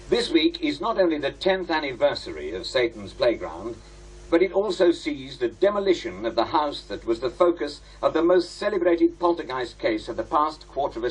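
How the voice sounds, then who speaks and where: A husky British man a bitter radio investigator in an audio drama. His delivery swings widely in pitch, sardonic and confrontational, with defiance running through it. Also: poor audio.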